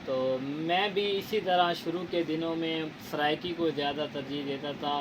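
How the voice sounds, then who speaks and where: A man's voice: a little high, level tone, moderate pace, background noise. A man from Punjab, Pakistan hosting an interview in a casual chat, speaking in an earnest, lecturing way with formal words and many fillers. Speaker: interviewer, channel host.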